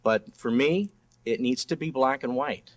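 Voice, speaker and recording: A man's voice: medium pitch, unhurried. A middle-aged man speaking as a candidate and district attorney in a debate, plain-spoken and often restarting his phrases. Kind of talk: political debate speech.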